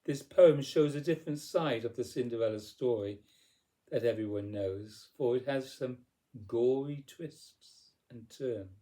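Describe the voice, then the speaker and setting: A man reads storybook verse in a theatrical narrating style. His tone is soft-edged and mellow, and he takes his time with deliberate phrasing. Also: medium pitch. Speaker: poetry reader.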